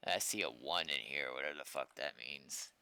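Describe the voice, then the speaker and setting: American guy streaming while he codes, really clear voice, takes his time, mutters to himself, close dry mic. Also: extremely low pitch, normal speed, sharp tone, no echo. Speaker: game developer livestreaming. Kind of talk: casual livestream chat, thinking aloud while coding.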